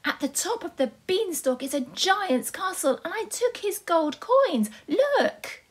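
Lady doing a little boy in a fairy tale, super high voice, eager and innocent, childlike. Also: very animated, unhurried, exaggerated voice. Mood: excited, proud.

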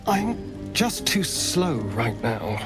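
Male vampire, suave, sly, needy, theatrical, unhurried, very animated pitch, game character, faint music behind. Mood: pleading, panicked. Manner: theatrical, dramatic delivery with drawn-out phrases. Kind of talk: video game character acting.

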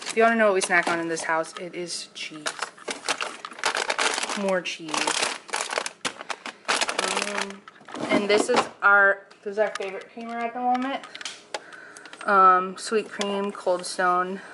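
A woman's voice: mid-range voice, takes it slowly, long pauses, very noisy. Blunt, joking young woman vlogger. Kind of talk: casual chat. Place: home kitchen and pantry.

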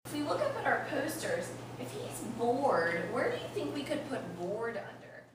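A young woman teaching an interactive read-aloud to young children, expressive and instructive, stressing key words slowly. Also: high voice. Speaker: primary school teacher. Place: elementary classroom, indoors. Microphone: far camera. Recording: roomy, noisy classroom, distant camera microphone.

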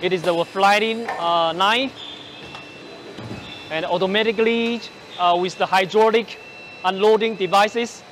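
A man in his forties presenting machinery on a large factory floor, speaking haltingly with many fillers and restarts, using a lapel mic. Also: high voice, fairly even intonation, background noise. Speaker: company presenter on a factory tour. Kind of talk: product walkthrough.

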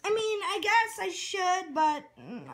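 A woman's voice: very high voice, lively intonation, a little slow, slight background noise. A woman voicing a needy, earnest young boy who rambles dramatically in a homemade cartoon skit. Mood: rambling, earnest.

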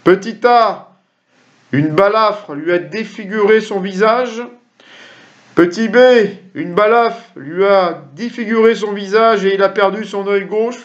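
Middle-aged male quiz host, high voice, jokey asides, dull lo-fi webcam recording. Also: unhurried. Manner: jokey, chatty asides to viewers. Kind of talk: reading quiz questions to camera. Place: small room at home. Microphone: webcam or computer mic at desk.

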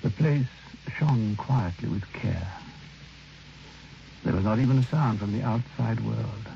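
An actor playing a reflective, haunted man who narrates his own tale in a measured, literary, solemn radio drama style. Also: fairly low pitch, vocal fry, warm tone. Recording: noisy, dull old radio recording.

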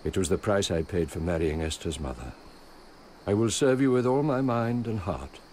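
An actor playing a devout, shrewd old merchant and loyal steward in a radio drama, speaking in an earnest, measured way. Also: male voice, deep voice, lively intonation, darkish tone. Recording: radio drama with faint background noise.

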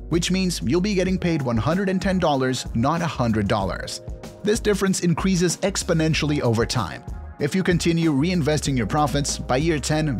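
A man reading a scripted explainer narration in a measured, instructional manner. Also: a little high. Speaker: video narrator. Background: background music.

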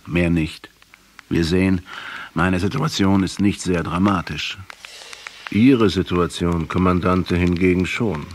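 A man playing a pensive middle-aged historian who narrates a radio drama in a husky, reflective, measured way. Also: low voice. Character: pensive 39-year-old male historian questioning a captive.

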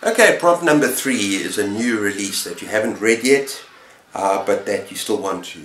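Casting: middle-aged man, lowish voice, informal video-host chat about books, recorded in a roomy indoor space. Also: animated, normal speed, a bit bright, slight background noise. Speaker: booktuber host. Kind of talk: casual book-review vlog chat. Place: indoor room lined with bookshelves. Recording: roomy, echoey indoor sound.

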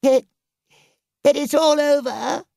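A man voicing an excitable, boastful male toad with an airy, over-dramatic manner, as in a storybook. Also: very high-pitched, takes it slowly, gravelly, no echo, studio quality, pitched above the performer's own voice, full character voice. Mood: despairing, sobbing.

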